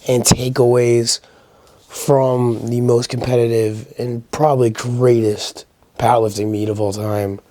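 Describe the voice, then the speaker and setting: A man hosting a casual solo video commentary, giving opinions conversationally, his voice a little raspy as he gets over a sickness. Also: mid-range voice, level tone, measured pace, mellow, no room sound. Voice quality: slightly raspy. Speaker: host of a powerlifting recap channel.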